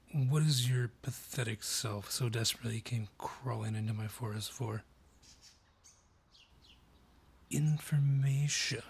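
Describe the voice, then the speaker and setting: A man playing a haughty, sly dryad who rules a forest, taunting and condescending in a breathy, husky voice, in a fantasy audio roleplay. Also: medium pitch, darkish tone. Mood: smug, menacing. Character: haughty, sly male dryad ruling a forest, menacing and mocking.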